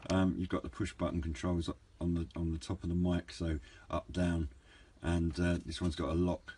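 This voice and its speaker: Low-voiced man in a casual review. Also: level tone, normal speed, mellow. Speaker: hobbyist radio reviewer. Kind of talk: casual, unscripted product review. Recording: clear background noise, room ambience.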